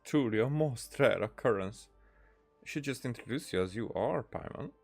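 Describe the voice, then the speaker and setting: A game streamer making slow, hesitant, mumbled comments over gameplay. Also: mid-range voice, lively intonation, creaky, soft background music.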